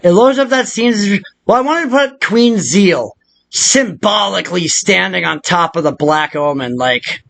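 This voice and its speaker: A man with a noticeably high-pitched voice hosts a casual video tutorial. He rambles in a loose, unscripted way, drifting into muttered asides. His delivery is crude and joking, with plenty of profanity. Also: animated, unhurried, vocal fry, fairly bright tone.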